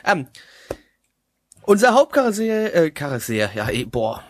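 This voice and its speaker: A man hosting a casual anime review chat, talking in a halting, joking, self-mocking way. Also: on the high side, sing-song. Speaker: host of an anime review show.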